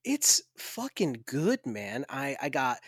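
A man's voice: medium pitch, wildly swooping pitch, slow, slightly rough, dry recording, studio quality. Crude, laughing male podcast co-host. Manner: crude, self-mocking, laughing. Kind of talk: casual podcast banter.

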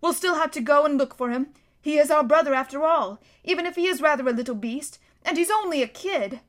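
A woman's voice: sits high, moderate pace. A woman reading aloud voicing an earnest, dutiful older boy who speaks with determination.

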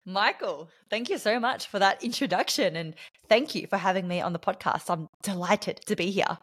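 A woman's voice: mid-range voice, normal speed. An Australian woman speaking as a podcast guest, telling personal stories in a reflective, articulate, conversational way.

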